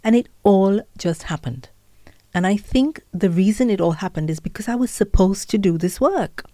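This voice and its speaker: A woman's voice: mid-range voice, lively intonation, normal speed, warm tone, no room sound. A middle-aged woman with an Indian accent, a podcaster and author telling her story earnestly in an interview. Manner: earnest storytelling with listing and self-talk quotes. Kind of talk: candid interview conversation.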